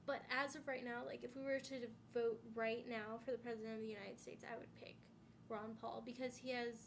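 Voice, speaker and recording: A young woman talks to her camera in a loose, rambling way, her fairly high voice full of "um"s and "like"s. She sounds a little stuffy and sniffly from a sinus infection. A faint hiss sits under the recording. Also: flat delivery, fairly quick. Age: young adult. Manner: rambling, many "um"s and "like"s. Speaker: vlogger. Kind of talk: casual chat to camera.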